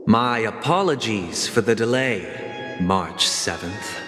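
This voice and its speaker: Casting: a formal, theatrical game mastermind, solemn and measured, long echoing reverb under loud music. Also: male voice, fairly low pitch, expressive. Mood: courteous menace. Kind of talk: video game voice acting, theatrical narration.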